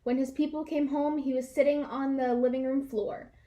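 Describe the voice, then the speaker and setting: A woman with a high-pitched voice narrates a children's storybook. She reads expressively, in an animated read-aloud style, leaning on key words for emphasis. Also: normal speed.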